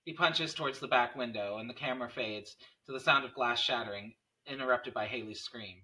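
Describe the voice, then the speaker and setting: A man's voice: medium pitch, brisk, background noise. A man reading out screenplay stage directions at a table read, picked up by a distant microphone.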